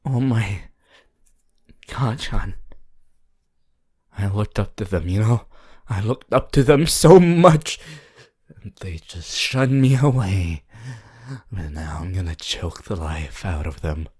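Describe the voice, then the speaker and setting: A voice actor playing a possessive, unhinged yandere lover in an ASMR roleplay, slow, intimate and breathy, swinging between tender and manic. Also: low-pitched, exaggerated voice. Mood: bitter, bloodthirsty. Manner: slow, drawn-out, intimate, swelling from whispers to loud outbursts.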